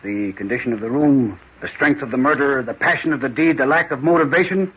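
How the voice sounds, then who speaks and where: An actor playing a smug, playful French detective, teasing and questioning theatrically in an old-time radio mystery. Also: male voice, medium pitch, brisk, husky, natural voice. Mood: smug, amused. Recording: dull muffled old radio recording.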